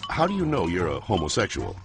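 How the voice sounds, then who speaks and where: A man playing a genial, wry science narrator in an educational cartoon, explaining in measured phrases. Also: sits low, huge pitch swings, exaggerated voice. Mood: genial, explanatory. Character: genial male science narrator moderating a debate. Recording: music behind the voice.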